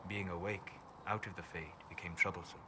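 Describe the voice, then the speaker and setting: Reserved, scholarly elven mage, played by a man for a fantasy game: low, formal, thoughtful delivery. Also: steady tone, natural voice. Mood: reflective. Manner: measured, formal, thoughtful phrasing. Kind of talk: video game dialogue acting. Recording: clear ambient noise behind game dialogue.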